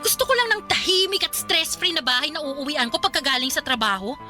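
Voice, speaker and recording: A woman's voice: high voice, animated, fairly quick, faint music behind, exaggerated voice. A woman playing a scolding bossy older sister. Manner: scolding, sharp-tongued. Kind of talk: radio drama acting.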